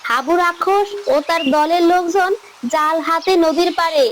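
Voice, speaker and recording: A woman voicing an urgent, excitable monkey henchman in a children's cartoon. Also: extremely high pitch, moderate pace, a little hiss or hum. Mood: urgent, alarmed. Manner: urgent, eager. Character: excitable tattling monkey henchman of an ogre boss. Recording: music behind the voice.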